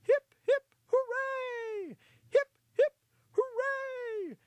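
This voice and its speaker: A storyteller voicing a jubilant little mouse shouting out cheers. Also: very high-pitched, drawn-out words, no room sound, dull audio, voice pushed up, full character voice. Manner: shouting, exuberant. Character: jubilant mouse leading shrill hip-hip-hooray cheers.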